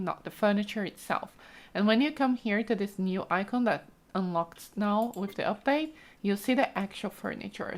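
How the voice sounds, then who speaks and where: A woman giving casual, chatty let's play commentary with an enthusiastic, cooing manner. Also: mid-range voice. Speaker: gaming video host. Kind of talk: casual let's play commentary, reading game text aloud.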